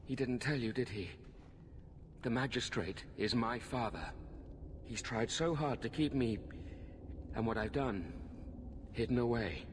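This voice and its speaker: A man playing a tormented, pitiful killer in a video game, halting and anguished as he pleads. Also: medium pitch, background noise, lightly acted. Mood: bleak self-loathing. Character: tormented, self-loathing male killer, magistrate's son, pitiful. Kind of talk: video game dramatic acting.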